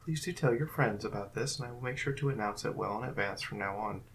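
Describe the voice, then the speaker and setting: A woman's voice: extremely low pitch, fast, a little hiss or hum. A woman hosting a fan audio drama, giving casual closing remarks in a rambling, hesitant way. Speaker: host and director.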